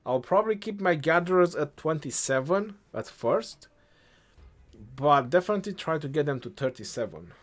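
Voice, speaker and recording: A young man with an Eastern European accent, answering chat questions on a game live stream in a relaxed way, thinking aloud as he goes. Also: a little high, normal speed.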